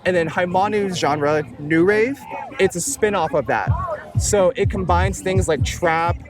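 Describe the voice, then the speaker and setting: A man's voice: high voice, measured pace. A young man whose first language is Tagalog, a music producer, talking casually and rambling with many fillers in an interview outdoors at the beach. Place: outdoors at a beach, traffic nearby. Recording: music playing under the voice.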